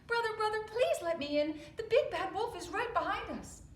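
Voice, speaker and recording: A woman voicing the littlest male pig in a storybook tale, with a squeaky, pleading voice. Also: very animated, a little slow, fairly bright tone, roomy sound, slight background noise, exaggerated voice. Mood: frightened. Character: littlest male pig, carefree then frightened.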